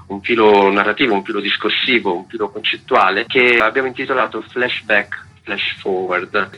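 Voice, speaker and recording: A man's voice: on the low side, pushed and bright, slight background noise, rough recording. A man giving an explanatory radio interview as a festival's artistic director, articulate and wordy with formal, intellectual phrasing.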